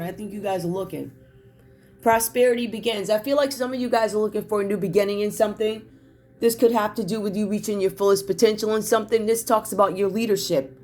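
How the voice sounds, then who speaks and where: A hoarse woman doing a casual tarot reading, chatty and reflective with many fillers. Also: medium pitch, a little mellow. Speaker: tarot reader.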